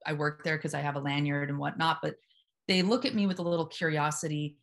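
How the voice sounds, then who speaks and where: Woman, low and steady hypnotherapist voice, a touch of fry. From Memphis, US South. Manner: calm, deliberate, steady delivery. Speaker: hypnotherapist at a psych hospital, guest. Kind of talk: casual interview conversation.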